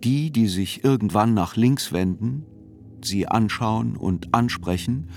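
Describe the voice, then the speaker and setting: Radio play narrator, male, sober and unhurried delivery of literary text, faint music underneath. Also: lowish voice, creaky, darkish tone. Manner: deliberate, sober.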